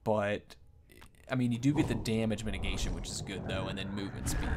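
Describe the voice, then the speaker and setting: A man's voice: lowish voice, noisy background. A man giving casual, off-the-cuff game commentary as a video host, rambling and thinking aloud.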